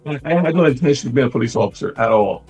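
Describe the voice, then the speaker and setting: A middle-aged man from Barbados with a gravelly, husky voice, talking conversationally as an interview guest. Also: mid-range voice, animated, fast talker. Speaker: interview guest, retired police detective. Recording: music playing behind the voice.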